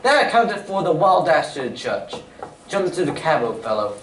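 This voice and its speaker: A man playing a brisk, commanding gentleman detective eager on the chase in a staged radio drama. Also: a little high, sing-song. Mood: excited, pleased. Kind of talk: old-time radio drama reading on stage. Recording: noisy echoey stage, far from mic.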